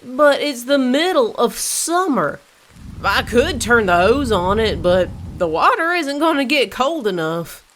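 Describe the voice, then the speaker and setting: A woman's voice: a little high, big pitch swings, unhurried, a bit bright, slight background noise. A woman playing a baffled but helpful emergency chief in an audio drama. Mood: helpful, hurried.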